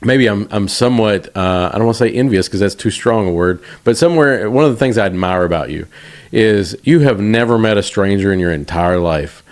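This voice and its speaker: A man hosting a casual interview, affirming his guest and pausing to hunt for the right word. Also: lowish voice. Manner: affirming, hedges his words while searching for them. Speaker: podcast host.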